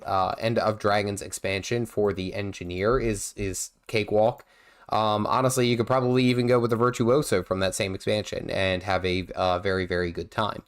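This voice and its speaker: A man's voice: fairly low pitch, clear, resonant tone. A man with a nasal, under-the-weather voice, rambling through casual livestream commentary with lots of fillers and restarts while he plays. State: nasal from feeling unwell. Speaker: gaming livestream host.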